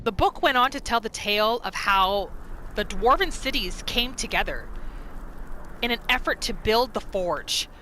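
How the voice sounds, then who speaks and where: Lively high-voiced woman narrating gameplay. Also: normal speed, slight background noise. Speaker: host of a gaming roleplay series.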